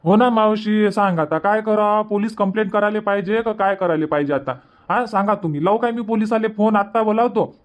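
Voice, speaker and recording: A man's voice: high-pitched, a little fast, lightly acted. A man voicing a worried young village son in a cartoon, questioning and fretful, on dull lo-fi audio. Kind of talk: Marathi cartoon comedy dubbing.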